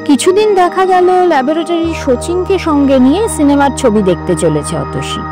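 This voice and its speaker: A woman reading literary fiction as scripted narration, with an expressive, dramatic storytelling delivery. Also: high voice, normal speed, over music. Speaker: story reader.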